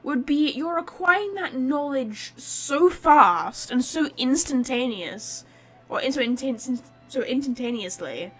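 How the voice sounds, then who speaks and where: A woman's voice: on the high side, fairly bright tone, low-quality recording. A woman streamer giving casual gameplay commentary, playfully talking back to the characters. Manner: playful, reacting aloud to characters.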